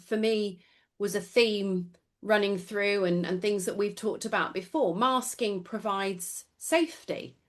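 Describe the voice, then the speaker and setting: Female podcast co-host, conversational, quick interjections. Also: medium pitch.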